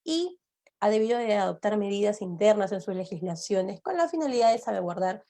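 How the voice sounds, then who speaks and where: A woman's voice: medium pitch, lively intonation, brisk, dark tone. A woman with a Peruvian accent, a guest lecturer explaining her subject and answering questions. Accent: Peruvian Spanish. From Peru. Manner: explanatory, asks listeners to remember points.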